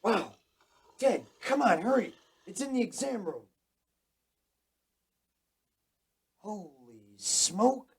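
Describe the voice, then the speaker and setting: An eager young man taking charge in a spooky radio play. The voice is husky and very animated in pitch, full of urgent exclamations and brisk instructions. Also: heavily acted. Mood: excited urgency. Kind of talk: radio drama acting.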